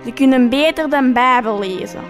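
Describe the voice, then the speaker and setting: Woman playing a bickering high-voiced nun. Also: normal speed, natural voice. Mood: scolding. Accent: Flemish. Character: bickering, sharp-tongued nun sister. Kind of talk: amateur radio play acting. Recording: loud music behind.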